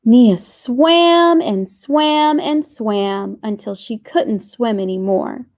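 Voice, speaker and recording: A woman reading a children's storybook aloud with expressive, deliberate phrasing. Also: a little high. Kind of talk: scripted storybook narration.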